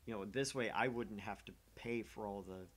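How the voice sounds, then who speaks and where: A man's voice: on the low side, normal speed. Casual, self-deprecating man livestreaming. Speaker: livestream host of a small gaming channel. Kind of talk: casual rambling livestream chat.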